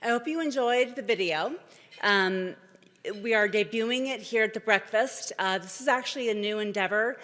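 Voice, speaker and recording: A woman giving welcome remarks as an event host, speaking in a halting way to a live audience. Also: a little high, fairly bright tone. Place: large indoor event hall, on stage. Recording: echoey hall with long reverb.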